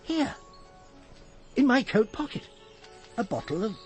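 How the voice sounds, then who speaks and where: An actor playing a blustery, long-practising country doctor who speaks haltingly and excitably in a radio drama. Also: male voice, very high-pitched, soft background music, full character voice. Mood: astonished.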